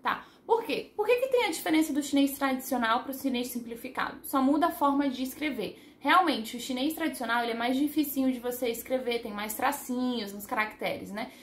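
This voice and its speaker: A woman's voice: sits high, a little hiss or hum. Chatty woman in an echoey room. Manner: chatty, rambling, self-correcting, laughs at herself. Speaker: language teacher on her channel. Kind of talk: casual explanatory lesson to camera. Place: living in Taiwan.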